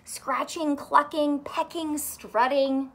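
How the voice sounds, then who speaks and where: A woman in her thirties reading a picture book aloud to children in an expressive, sing-song, teacherly way. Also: high voice, takes it slowly. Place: small furnished room indoors.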